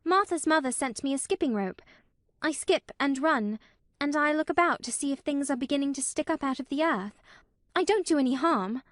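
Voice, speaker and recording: A woman's voice: high-pitched, animated, moderate pace, dry recording, studio quality, voice pushed up. A woman voicing a timid, earnest little girl in an audiobook, tremulous, breathless and pleading. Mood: anxious, eager.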